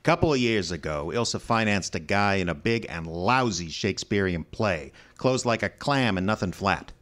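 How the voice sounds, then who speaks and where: An actor playing a brusque, slangy, hard-boiled suspect in an old-time radio style crime drama, cocky and defensive. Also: male voice, lowish voice, lively intonation, moderate pace, fairly bright tone. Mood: scornful, accusing.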